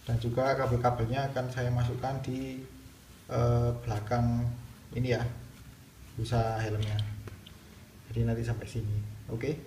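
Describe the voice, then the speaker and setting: A man's voice: medium pitch, completely flat delivery, a little slow, pauses often, mellow. Man in a roomy, noisy recording. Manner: explains step by step. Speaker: video host demonstrating product installation. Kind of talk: casual hands-on tutorial narration. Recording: roomy, distant mic, clear background noise.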